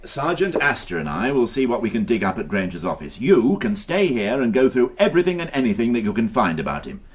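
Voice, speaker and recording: A man playing a shrewd, courteous police inspector with an English accent in a British radio crime drama, probing formally with deliberate hesitations. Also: mid-range voice, very animated, a little hiss or hum, natural voice. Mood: brisk, commanding.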